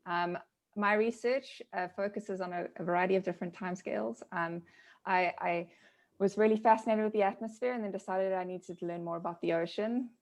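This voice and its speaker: A woman's voice: mid-range voice, fairly even intonation, a little fast. A woman in her thirties, a scientist introducing her research in a webinar over a video call, speaking with enthusiasm. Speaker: scientist panelist.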